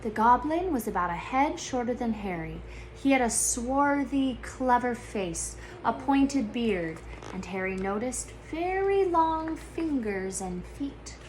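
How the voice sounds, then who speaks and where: A woman reading a children's book aloud as a teacher would, in expressive storybook narration. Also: fairly high pitch, slow pace, a little hiss or hum, low-quality recording.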